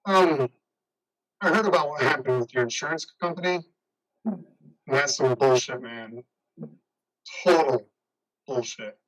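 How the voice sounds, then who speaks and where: A man's voice: mid-range voice, a little slow, long pauses, a bit bright, far from the mic, rough recording. A man playing a loyal, awkwardly sympathetic buddy in an amateur play reading, casual and hesitant. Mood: awkward, supportive. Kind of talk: amateur reader's theater over video.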